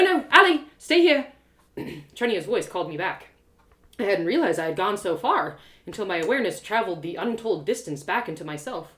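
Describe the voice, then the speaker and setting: Expressive woman storyteller in an echoing room. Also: lowish voice. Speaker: storyteller, narrator. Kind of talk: expressive first-person fantasy narration, live reading.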